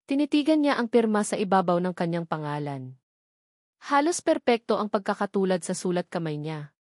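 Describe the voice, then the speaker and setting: A woman's voice: medium pitch, very animated, normal speed, darkish tone, dry recording. Dramatic woman audiobook narrator, dry sound. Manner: dramatic, emphatic phrasing. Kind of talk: scripted dramatic story narration.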